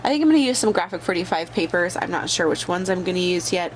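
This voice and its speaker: A woman's voice: on the low side, lively intonation, quick pace, poor audio. Woman, bad cold, chatty crafting host, lots of fillers, faint background noise. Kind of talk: casual crafting tutorial.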